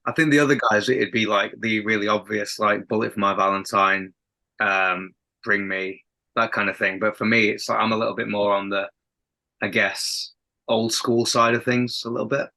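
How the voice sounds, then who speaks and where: A man's voice: on the low side, fairly even intonation, moderate pace, creaky, bright, forceful tone, rough recording. A young man with a faint Sheffield accent, a band's lead singer chatting as a guest in a casual podcast interview, rambling and laughing often. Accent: Sheffield, softened. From England.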